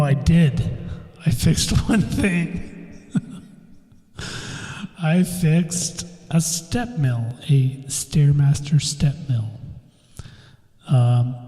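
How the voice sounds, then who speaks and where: Solo podcast guy, slow and mellow, congested, loose and profane, echoey reverberant room. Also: medium pitch. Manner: laid-back, swears casually, laughs at himself. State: stuffed up, slightly congested. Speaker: podcast host. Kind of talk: casual solo podcast rambling. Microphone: phone or computer mic, new recording software.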